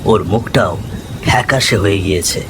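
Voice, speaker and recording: A man's voice: lowish voice, moderate pace. Man narrating a ghost story over storm noise. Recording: clear rain and thunder noise behind. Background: rain, thunder, ambience.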